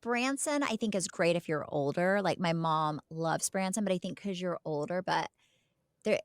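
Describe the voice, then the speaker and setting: A woman's voice: lowish voice, normal speed, clear, resonant tone, no room sound, studio quality. A middle-aged American woman from Oklahoma co-hosting a podcast, rambling through family stories in an emphatic, chatty way. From Oklahoma, United States. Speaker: podcast co-host. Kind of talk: casual chat, telling family anecdotes.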